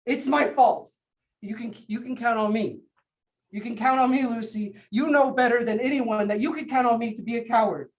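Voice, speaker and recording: A man's voice: sits high, moderate pace, a little mellow, close to an everyday voice. An actor playing a shaken young man who stammers as he retells a violent fight, in a stage play. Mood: bitter, guilty. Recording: roomy, distant from mic.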